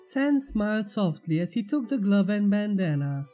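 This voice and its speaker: A woman narrates a fan fiction story. Her voice is mellow and medium in pitch, with lively movement as she reads the scripted prose expressively. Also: normal speed, soft background music.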